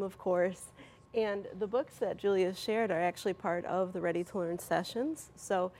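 A woman's voice: mid-range voice, creaky, darkish tone. A woman giving articulate, formal explanations as an organization's representative in an interview. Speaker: nonprofit media representative, interview guest. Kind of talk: polished explanatory interview answers.

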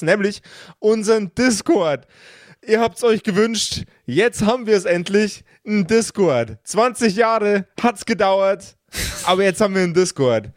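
Slightly high-voiced male game master. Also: no room sound, clean studio sound. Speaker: game master and podcast host. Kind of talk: improvised tabletop game narration and chat.

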